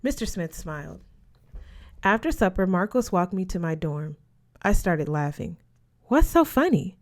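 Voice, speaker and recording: A woman's voice: lowish voice, normal speed. Woman reading a story aloud. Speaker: audiobook reader. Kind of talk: scripted first-person story narration.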